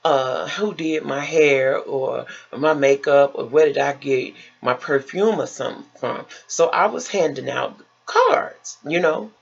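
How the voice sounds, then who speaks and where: An American woman vlogger from Chicago tells stories in a casual, chatty way. Her voice is raspy with a creaky fry, and she takes her time, leaning on repeated phrases for folksy emphasis. The sound is a little dull and lo-fi. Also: low voice, measured pace. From Chicago, United States. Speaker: vlogger telling personal stories.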